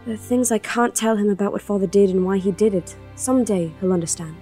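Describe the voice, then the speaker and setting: Woman acting as a young princess, grieving, fiery, protective sister, audio drama, medium-pitched voice, music behind. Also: fast talker, dark tone, close to an everyday voice. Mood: sorrowful. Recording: music under the voice.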